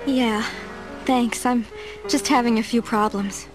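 A woman's voice: on the high side, steady tone, slow, over music. A woman playing a polite, earnest young scientist in a radio play.

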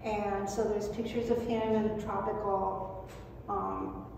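An older woman giving a prepared, personal conference talk in a measured, reflective, somewhat halting way. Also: mid-range voice, little pitch movement. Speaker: speaker at a skepticism conference. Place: meeting room, at a lectern, indoors. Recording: very echoey hall, distant mic, noisy.

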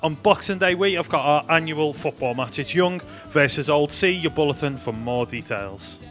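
A young man reads church announcements in a bright, forceful voice. He sounds upbeat and playful, speaking into a handheld mic. Faint music sits underneath. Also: fairly high pitch, animated, moderate pace. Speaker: church news presenter. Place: studio in front of a green screen.

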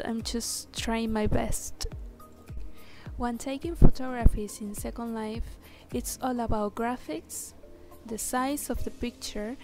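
A woman with a Spanish accent, whose first language is Spanish, talking through a software tutorial step by step with hesitant fillers. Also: a little high. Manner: slow, careful, step-by-step instructions with hesitant fillers. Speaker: tutorial maker. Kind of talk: screen-recorded software tutorial. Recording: voice-over with music playing underneath.

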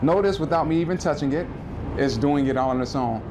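A man's voice: fairly high pitch, quick pace, noisy background. A man in his thirties giving a casual guided tour of an observatory, explaining equipment in a friendly, chatty way with small restarts. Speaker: planetarium manager giving a tour. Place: rooftop observatory outdoors, then indoor exhibit room.

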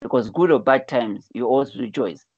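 A man's voice: medium pitch, moderate pace, no room sound. A Zambian-born man in his forties tells his own life story as an interview guest. He talks over a video call from a room at home. The sound is dry and muffled, a bit lo-fi. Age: 40s. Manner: earnest storyteller, repeats words when starting a thought. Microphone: video call, wired earphones. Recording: dull lo-fi video call audio.

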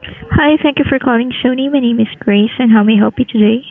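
Female support agent, scripted phrases, highish voice with fry, tinny phone line. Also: quick pace. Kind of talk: scripted call-centre greeting.

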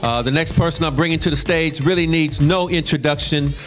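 A man's voice: fairly high pitch, a little fast, a bit bright. Man introducing a speaker, faint music behind. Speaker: event MC. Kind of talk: stage introduction.

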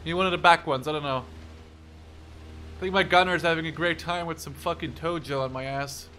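A young man with a bright, forceful, slightly high voice commentates on his own gameplay. He is excitable and casual, swears freely and talks to himself. There is clear noise behind his voice. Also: a little fast. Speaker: gaming YouTuber. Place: small room at home.

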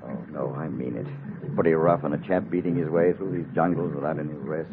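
An actor playing a slippery, defensive prisoner in an old-time radio adventure. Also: male voice, a bass voice, steady tone, brisk. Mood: soothing, sly. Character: protesting, slippery prisoner posing as British agent. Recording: muffled, noisy vintage radio recording.

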